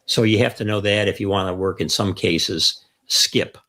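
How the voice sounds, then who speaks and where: A mature man with a slightly low voice talks in an explanatory, conversational way as a show co-host. He lays out his points in order and stresses important terms by saying them again. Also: normal speed, a bit bright. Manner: emphatic, repeats key phrases. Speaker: co-host of a ham radio show.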